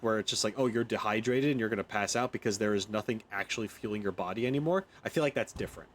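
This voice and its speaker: A man streaming a video game with casual, informal commentary, reacting aloud as he plays. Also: mid-range voice. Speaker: gaming streamer.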